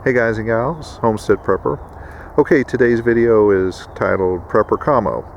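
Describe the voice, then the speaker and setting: A man hosting a casual instructional vlog with a gravelly voice, addressing viewers in a folksy way. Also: fairly low pitch, normal speed, highs cut off, a little hiss or hum. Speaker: video host.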